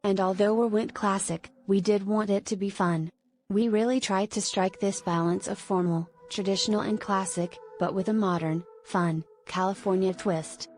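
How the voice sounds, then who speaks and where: A woman narrating a scripted celebrity news article in a measured read-aloud manner. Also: medium pitch, fairly even intonation, a little mellow. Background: music.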